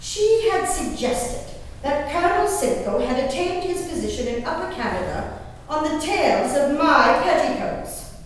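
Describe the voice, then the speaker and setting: An actress plays a spirited, genteel colonial lady performing her diary on stage. Her voice is fairly high and very animated, with prim, old-fashioned phrasing and dramatic pauses. It carries through a strongly reverberant hall. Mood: indignant, disdainful. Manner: prim, emphatic, savoring pauses, old-fashioned formal diction. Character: genteel, spirited, haughty English colonial gentlewoman diarist. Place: indoor hall, live performance recorded from a distance. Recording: roomy echoing hall, distant mic, faint noise.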